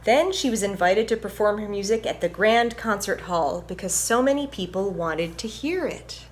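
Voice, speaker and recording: Female children's presenter, mid-range voice, gentle and encouraging read-aloud and teaching delivery, light noise behind. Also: medium pitch, expressive, normal speed. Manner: gentle, encouraging, instructive, addressing young children. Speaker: violinist presenting a children's story time. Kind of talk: picture book read-aloud and music lesson for children.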